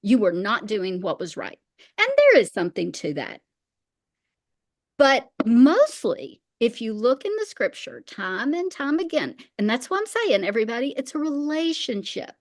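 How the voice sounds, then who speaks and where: High-voiced woman, emphatic faith teacher. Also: very animated, moderate pace. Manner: emphatic, repeats phrases for weight. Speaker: interview guest, faith teacher.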